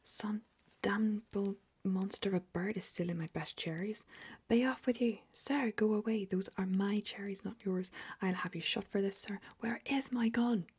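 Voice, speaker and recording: A woman's voice: medium pitch, moderate pace, voice pushed up. A woman whispering as a blustering old duke. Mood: furious. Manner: shouting in a hoarse whisper. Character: blustering rich old male duke, hot-tempered. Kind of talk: whispered storybook reading.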